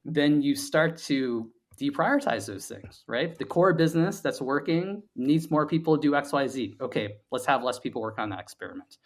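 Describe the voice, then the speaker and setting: Man explaining thoughtfully, haltingly, in an interview. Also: mid-range voice, animated. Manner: explanatory, halting with repeated words and hedging "like". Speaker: startup founder being interviewed as guest. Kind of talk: reflective interview conversation.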